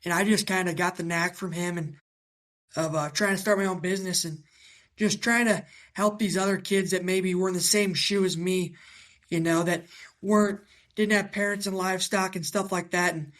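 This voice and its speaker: A man in his twenties from Iowa with a raspy voice, answering interview questions in a halting, casual way with many fillers. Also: high voice, steady tone, a bit bright. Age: 20s. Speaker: guest, young cattle breeder.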